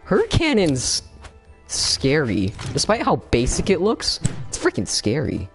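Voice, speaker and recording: A young man chatting casually while he streams a fighting game, excitable, with sudden yelps and laughs. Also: a little high, slow pace, soft background music. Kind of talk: casual gameplay commentary. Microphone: no camera, desk mic.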